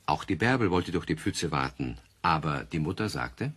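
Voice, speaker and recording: A man narrating a children's radio play as a storyteller, talking conversationally to young listeners with gentle, wry amusement. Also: very deep voice, expressive, moderate pace, slight background noise. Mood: wry, friendly.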